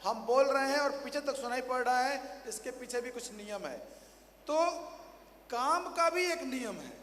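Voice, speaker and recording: A hoarse man, a motivational speaker at a company training event, giving a rousing stage speech to a large audience and firing questions at the crowd, repeating them louder. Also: very high voice, animated, normal speed, slight background noise. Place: large hall. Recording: echoey hall, faint ambience.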